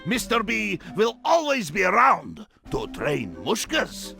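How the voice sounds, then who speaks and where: An eccentric, friendly showman, talks slowly in a high, gravelly voice. His broken English is clipped and theatrical, a flamboyant video game neighbor over clear background music. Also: wildly swooping pitch, sharp tone, full character voice. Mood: hearty. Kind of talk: video game cutscene voice acting with tutorial instructions.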